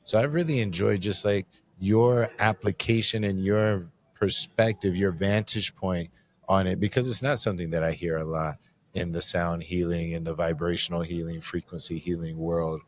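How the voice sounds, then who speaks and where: A man with a very low, deep voice talks things through in a loose, reflective way, dropping casual slang as he goes. He is hosting a podcast interview recorded live at a conference. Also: steady tone, moderate pace. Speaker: podcast host. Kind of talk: conversational interview.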